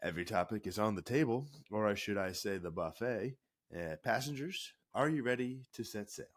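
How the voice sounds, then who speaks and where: A man with a cold, co-hosting a podcast in a low, mellow voice, joking and rambling with restarts. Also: lively intonation, moderate pace. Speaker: podcast co-host, former cruise director. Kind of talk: casual podcast chat.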